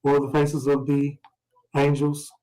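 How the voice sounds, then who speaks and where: A man's voice: a little high, monotone, unhurried, dark tone, low-quality recording. A man leading an informal scripture study, reading verses aloud and explaining them, often checking in with questions. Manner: explanatory, prompts listeners with questions and "right?".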